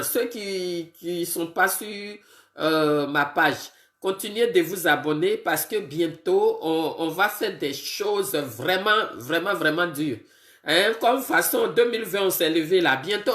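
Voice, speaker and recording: Slow, congested woman livestreaming. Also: lowish voice, a bit bright, echoey room. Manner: greets viewers one by one, slow and halting with fillers. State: congested with a cold, sniffly and tired. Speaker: political live streamer. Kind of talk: casual live video chat greeting viewers.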